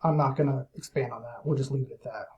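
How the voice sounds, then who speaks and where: A man's voice: medium pitch, steady tone, quick pace, muffled recording. A man giving an informal, opinionated explainer to clients in a blunt, emphatic way. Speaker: lead generation business owner.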